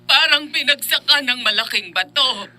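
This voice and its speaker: A woman's voice: sits high, expressive, moderate pace, pushed and bright, faint music behind, full character voice. An actress playing a grieving mother of grown sons in a melodramatic radio drama.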